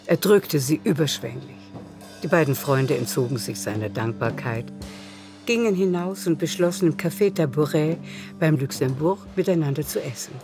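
A woman's voice: sits low, lively intonation, normal speed, music in the background. A woman reading scripted literary narration as the narrator of a radio drama.

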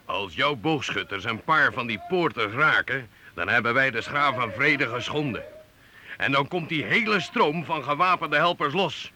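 Arrogant knight, piercingly bright voice. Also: male voice, mid-range voice, lively intonation, moderate pace. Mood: gloating, scornful. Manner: pompous, mocking, domineering. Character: arrogant, scornful, bellowing medieval knight, lord of a castle. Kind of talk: theatrical radio drama acting for youth. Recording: old radio recording with faint noise.

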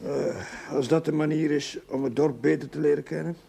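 Gravelly ashamed, hungover chaplain. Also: male voice, on the high side, steady tone, a little fast, dark tone, full character voice. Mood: ashamed, groaning. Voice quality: creaky. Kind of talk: TV period drama acting.

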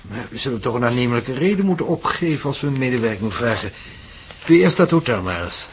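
Guy acting calm careful professor, radio play, polite n measured, mid pitch, faint noise. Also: lightly acted. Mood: thoughtful. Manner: measured, courteous. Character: calm, cautious male professor advising.

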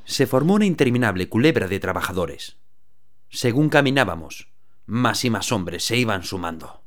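A man narrating a radio drama in the first person, telling the story in a steady, even way. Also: lowish voice, moderate pace.

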